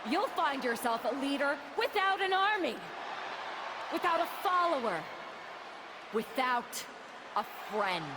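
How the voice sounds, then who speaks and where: A woman's voice: high voice, sing-song, measured pace, long pauses, background noise. A woman playing a stern wrestler who threatens a rival in a video game cutscene. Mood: threatening.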